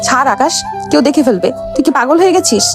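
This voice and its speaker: A woman's voice: high voice, animated, quick pace, over music. A woman playing a haughty, hot-tempered young wife who bosses her servant husband in a romantic audio story. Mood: flustered. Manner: scolding, bossy, dramatic.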